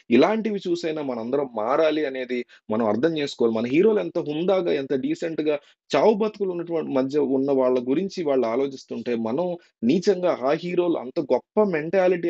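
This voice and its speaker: A man's voice: mid-range voice, creaky, warm tone, lo-fi sound. A man giving informal, earnest and opinionated spoken news commentary for a video.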